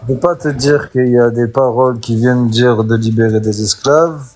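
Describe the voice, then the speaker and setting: A man's voice: medium pitch, steady tone, moderate pace, darkish tone, a little hiss or hum. A man joining a live discussion who speaks directly and questions the point being made.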